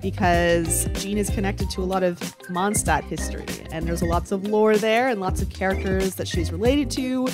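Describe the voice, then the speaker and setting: A woman's voice: medium pitch, moderate pace, hardly any pauses. A young woman with a stuffy, congested nose, giving chatty, frank commentary on a video game and laughing often. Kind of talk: casual reaction commentary. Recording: game music playing under voice. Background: game music underneath.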